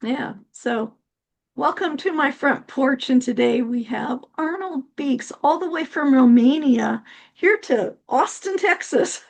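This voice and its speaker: High-voiced woman host, easy laughter. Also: vocal fry. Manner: friendly, laughs easily. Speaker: podcast host. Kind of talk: casual interview chat.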